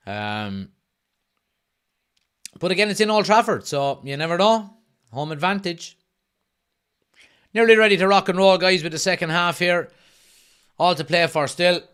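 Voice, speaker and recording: A man's voice: fairly high pitch, clear, resonant tone. A young Irish man hosting a live football watch-along stream, chatting casually, reading viewers' messages aloud and calling the match action. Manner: reads viewers' chat messages aloud and answers them; excited play-by-play bursts during match action.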